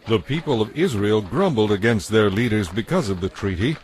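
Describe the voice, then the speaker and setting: A man narrating scripture from a script in a measured, solemn, dramatic way. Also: lowish voice, lively intonation, darkish tone. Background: music.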